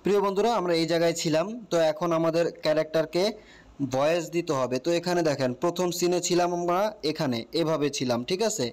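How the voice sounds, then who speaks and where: A man's voice: fairly high pitch, fast. A man with a Bangladeshi accent hosting a casual step-by-step app tutorial, explaining and often checking that the listener follows. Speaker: tutorial host.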